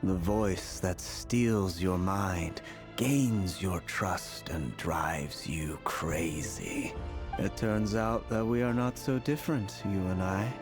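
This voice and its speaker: An actor playing a grave, mysterious, weary prisoner in a video game, speaking solemnly and deliberately. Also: male voice, low voice, over music. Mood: ominous. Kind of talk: scripted video game dialogue.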